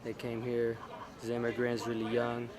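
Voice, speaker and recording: A man from South Central Los Angeles speaks in a casual, halting way, circling back and restarting his thoughts. His pitch sits in an ordinary middle range. He is recorded outdoors, with clear street and vehicle noise around him. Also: completely flat delivery. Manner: hesitant, restarts often. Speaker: interviewee. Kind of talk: casual street interview answers.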